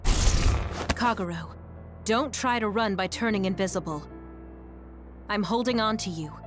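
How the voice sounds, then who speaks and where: A woman playing a calm, authoritative military major in a video game. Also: mid-range voice, unhurried, soft background music, close to an everyday voice. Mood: stern. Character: composed, firm female military major.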